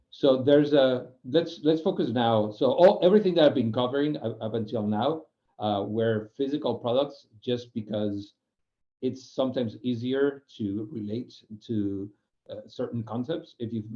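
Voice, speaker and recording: A man's voice: medium pitch, normal speed, muffled recording. A man from Spain, a non-native English speaker with a Spanish accent, gives an informal explanatory presentation, often restarting phrases and searching for words. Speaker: UX program manager giving a guest talk.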